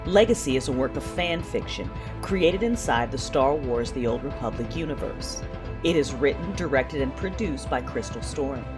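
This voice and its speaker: A woman's voice: a bass voice, lively intonation, moderate pace, music in the background. A woman reading a scripted podcast outro and credits as an announcer.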